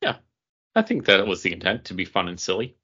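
A man co-hosts a comics podcast in relaxed conversational style. His voice sits low yet has a bright, forceful edge, and the recording is slightly band-limited. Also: brisk, slightly rough. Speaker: podcast co-host reviewing comic books. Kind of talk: casual chat. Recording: dull, band-limited audio.

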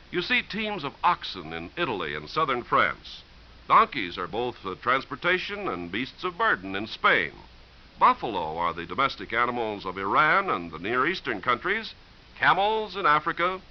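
A man's voice: medium pitch, slight background noise. A man with an American accent reading an explanatory script as a 1950s radio announcer, posing and answering his own questions. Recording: dull 1950s radio recording.

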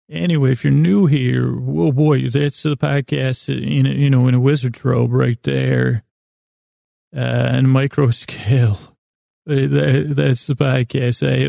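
A man hosting a sleep podcast with a rambling comic monologue, meandering and halting, stumbling into tangents and interrupting himself. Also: medium pitch, mellow, dry recording, studio quality. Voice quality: very creaky, gravelly drone.

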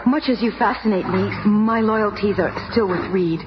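An actress playing an earnest, compassionate young heroine in a melodramatic radio drama. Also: female voice, medium pitch, normal speed, lightly acted. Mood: tender regret. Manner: earnest, pleading. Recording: muffled radio recording, noisy.

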